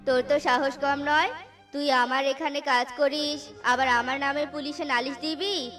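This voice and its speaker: Casting: bullying cheapskate boss for a cartoon, voiced by a woman, very high pitch. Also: steady tone, moderate pace, a bit bright, soft background music. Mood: harsh. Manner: scolding, threatening. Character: harsh stingy male boss Jogyo.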